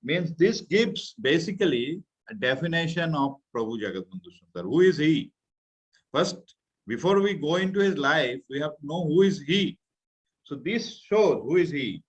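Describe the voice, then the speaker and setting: A middle-aged man from India with an Indian accent, giving an earnest religious talk to a youth class and sometimes pausing to search for words. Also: on the high side, very animated, husky. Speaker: guest speaker. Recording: muffled, slightly lo-fi audio.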